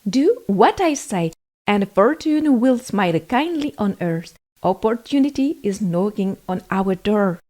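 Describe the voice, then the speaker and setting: A woman's voice: medium pitch, animated, unhurried, darkish tone. A woman voicing a clever, bold male talking cat in a fairy tale, with a theatrical, declaiming manner. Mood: urging.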